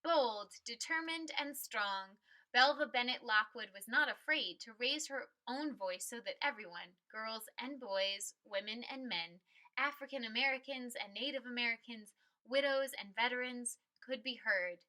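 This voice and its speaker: A woman's voice: on the high side, normal speed, pushed and bright, low-quality recording. A woman reading a picture book aloud expressively, with emphatic, dramatic phrasing and stressed key words. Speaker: read-aloud storyteller.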